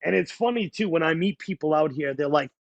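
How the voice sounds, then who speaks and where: Boston-accented man with a gravelly voice. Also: a little high, a little fast, no room sound, muffled recording. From New England, small-town New Hampshire near the Massachusetts border. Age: 40s. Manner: candid, blunt. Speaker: guest, life coach. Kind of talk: casual conversational storytelling.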